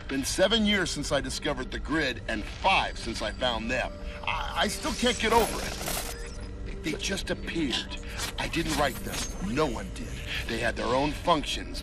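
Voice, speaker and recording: Musing, higher-voiced computer visionary. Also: male voice, far from the mic. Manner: halting, musing, laid-back slangy delivery. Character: earnest, awed middle-aged male computer visionary recording diary. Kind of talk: dramatic video game cutscene acting.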